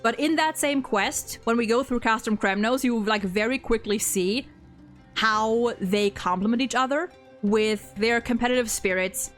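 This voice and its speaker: A woman's voice: a little high, a little slow, fairly bright tone. A young woman in casual fan discussion of a game story, talking in an enthusiastic, informal way peppered with "like". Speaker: video game streamer and discussion host. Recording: music playing behind the voice. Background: music.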